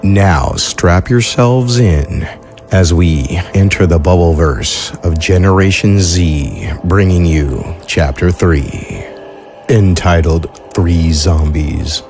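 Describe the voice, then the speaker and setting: Deep, slow-paced male podcast host. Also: darkish tone, faint music behind. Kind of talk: scripted podcast introduction.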